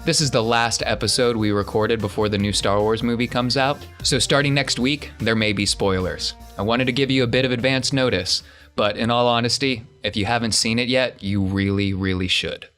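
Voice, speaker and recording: A man's voice: medium pitch, steady tone, moderate pace, a bit bright, soft background music. A man hosting a tabletop role-playing podcast as game master, chatting casually with his players.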